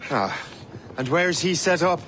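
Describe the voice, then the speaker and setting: An actor playing a courteous but defiant young sailor turned fighter in a video game. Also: male voice, on the high side, animated, unhurried, bright, forceful tone, a little hiss or hum. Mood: challenging. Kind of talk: video game drama acting.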